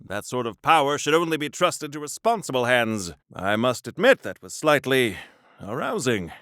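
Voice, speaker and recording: Hammy, bright-voiced 1940s all-American hero. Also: male voice, mid-range voice, very animated, moderate pace, dry recording, clean studio sound. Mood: intrigued. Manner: hammy, earnest, quaint old-fashioned exclamations. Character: wholesome, pompous 1940s all-American patriotic male superhero. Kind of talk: old-time 1940s hero acting for a video game.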